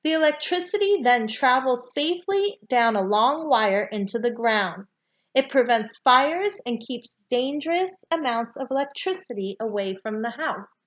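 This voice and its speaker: Lady reading a kids fact book out loud, really slow and careful, pitch moves up and down a lot. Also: expressive. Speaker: read-aloud storyteller. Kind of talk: reading a children's nonfiction book aloud.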